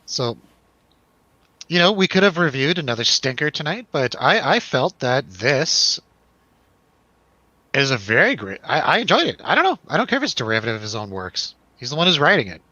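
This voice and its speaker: A man's voice: medium pitch, sing-song, normal speed, bright, forceful tone, dry recording, lo-fi sound. A man hosting a casual movie review chat, joking and irreverent in the way he talks. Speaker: host of a movie review show.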